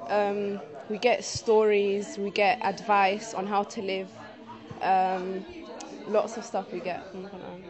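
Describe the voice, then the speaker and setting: A woman at the event as a spectator, answering interview questions softly, with frequent ums and likes. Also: medium pitch, flat delivery, takes it slowly. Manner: soft-spoken, with many ums and likes. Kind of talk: vox-pop interview answers. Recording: crowd chatter behind.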